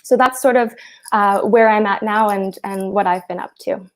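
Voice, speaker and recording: A young woman with a mid-pitched voice lays out her points clearly, with only a few fillers along the way. She speaks over a video call and keeps an even, explanatory tone. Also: normal speed. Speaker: researcher guest. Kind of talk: explaining ideas in a discussion.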